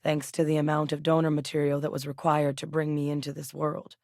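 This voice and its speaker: Composed military scientist, female, for a sci-fi game: lowish voice, serious and measured, dry close recording. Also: completely flat delivery, a little mellow, dry recording. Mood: serious, explanatory. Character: composed, duty-driven adult woman soldier-scientist in space. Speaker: video game voice actress. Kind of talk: game character dialogue lines.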